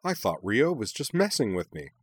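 A man voicing an excitable, theatrical young woman racer who gushes and wisecracks, in a story reading. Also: fairly low pitch, moderate pace, slightly rough, voice pushed up. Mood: jubilant.

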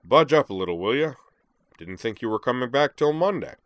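A man voicing a huge, hearty young man with a touch of an island accent and a deep, rich, resonant voice. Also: fairly quick, fairly bright tone, no room sound. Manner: hearty, booming, gallant.

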